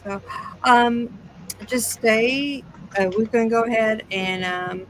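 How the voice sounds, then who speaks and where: A woman chatting casually as a podcast host, speaking in a halting way. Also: fairly high pitch, slight background noise, low-quality recording. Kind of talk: casual chat, show sign-off.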